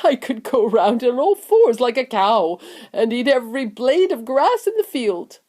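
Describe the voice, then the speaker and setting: A delighted old grandfather from a children's storybook, acted out by a woman. He is hearty and boyishly enthusiastic. His pitch runs high and swings widely. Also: very animated, measured pace, a little mellow. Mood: gleeful. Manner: gleeful, hearty.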